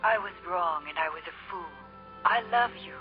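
A woman's voice: lowish voice, moderate pace, natural voice. A woman acting in a 1950s radio drama, playing a tender, remorseful sweetheart voicing her love letter in a yearning, romantic way. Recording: muffled 1950s radio, music behind.

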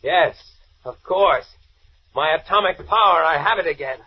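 High, raspy megalomaniac super-villain. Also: male voice, animated, normal speed. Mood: exultant, menacing. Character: reviving male super-villain, megalomaniac with atomic power. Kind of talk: 1940s American radio serial acting. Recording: dull old radio recording.

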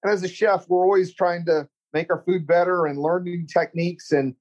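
A man's voice: sits high, steady tone, moderate pace, darkish tone. A middle-aged man from Central Texas with a Texan accent, a culinary teacher and chef chatting earnestly in an interview, rambling with many restarts. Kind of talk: casual interview chat.

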